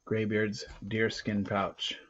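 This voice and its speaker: A man reading an adventure novel aloud in a dramatic, emphatic way, swinging between hushed and loud delivery. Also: fairly low pitch, monotone, takes it slowly. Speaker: reader of a children's adventure novel. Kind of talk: dramatic read-aloud narration. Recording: dull, lo-fi recording.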